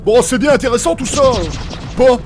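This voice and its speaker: A man's voice: extremely high pitch, expressive, normal speed. A man playing a cheeky, irreverent young joker in a fantasy audio comedy, tossing out flippant, teasing lines. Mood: puzzled, flippant. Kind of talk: French humorous fantasy audio fiction acting. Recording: music behind the voice.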